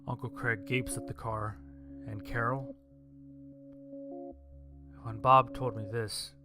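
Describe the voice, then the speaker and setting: A man reading a scripted story as its narrator. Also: mid-range voice. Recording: music behind the voice. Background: music.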